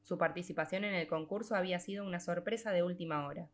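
A woman reading a literary short story aloud as an audiobook narrator, in a measured storytelling manner with drawn-out phrases. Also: fairly low pitch.

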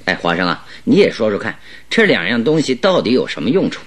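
一位男演员在广播剧中饰演自信、善于追问的侦探，说话从容推敲，带有戏剧腔。. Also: male voice, lowish voice, sing-song. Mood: probing. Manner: inquisitive, deliberate, theatrical. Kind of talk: Chinese radio drama acting.

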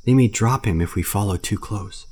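A man voicing a proud, stern male panther who scolds slowly and impatiently. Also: lowish voice, mellow, pitched above the performer's own voice, close to an everyday voice. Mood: scornful.

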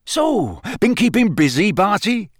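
Man as a breezy, jovial wizard, animated pitch. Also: unhurried, dry recording, clean studio sound. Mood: jovial, casual. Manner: breezy, comfortable, chummy. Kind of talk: audiobook character voice.

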